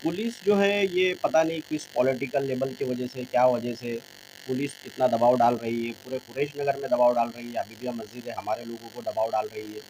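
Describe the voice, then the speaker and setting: Male local spokesman, medium pitch, Mumbai Hindi accent, insistent and repetitive, faint noise and hum behind. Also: fairly even intonation, a little fast, a little mellow, low-quality recording. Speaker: local mosque representative being interviewed. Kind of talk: interview statement, public appeal.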